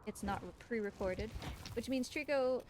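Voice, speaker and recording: A woman's voice: on the high side, moderate pace. A woman giving casual, chatty and explanatory live commentary as she plays a video game on a stream. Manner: chatty, explanatory, talks to the game creature and viewers. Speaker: speedrunner and livestream host. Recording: clearly noisy, game sound behind.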